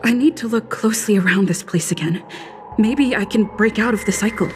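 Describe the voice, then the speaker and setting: A woman's voice: medium pitch, fairly quick, slight background noise, natural voice. A woman voicing a curious young adventurer in a video game, hesitant and musing to herself. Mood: puzzled. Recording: loud music behind the voice. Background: game music.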